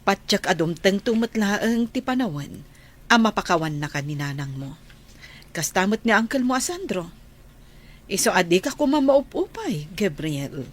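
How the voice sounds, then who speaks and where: A woman acting as a kindly, encouraging high school teacher in a radio drama, with an Ilocano Filipino accent. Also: normal speed. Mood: reassuring. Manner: animated, encouraging.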